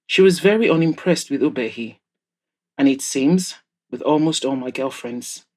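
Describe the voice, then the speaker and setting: Audio drama role: bored, restless male bachelor voiced by a narrator, medium pitch, discontented ladies' man. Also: expressive, moderate pace, darkish tone, lightly acted. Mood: weary, discontented. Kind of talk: audiobook-style character dialogue.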